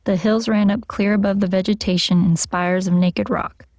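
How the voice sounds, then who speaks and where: A woman's voice: medium pitch, fairly even intonation, normal speed, a little mellow, dry recording. Woman reading a scripted speech sample. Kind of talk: read sentence, speech demo sample.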